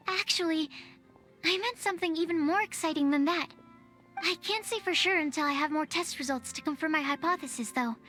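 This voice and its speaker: A woman's voice: very high-pitched, moderate pace, sharp tone. A woman playing a shy, bookish young female alchemist in a video game, hesitant and precise like a scientist. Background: game music.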